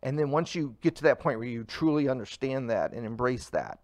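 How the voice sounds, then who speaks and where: A man in his fifties who runs a food truck, talking casually in an interview, relaxed and rambling with fillers. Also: medium pitch, a little fast, no echo.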